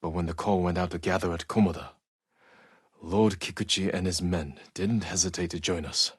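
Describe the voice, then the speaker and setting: An actor playing a stoic, honorable samurai warrior in a video game, with restrained, solemn, reflective delivery and a soft-edged husky voice. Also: male voice, deep voice, fairly even intonation, normal speed, no room sound, clean studio sound. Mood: solemn, earnest. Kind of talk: dramatic video game acting, reflective monologue.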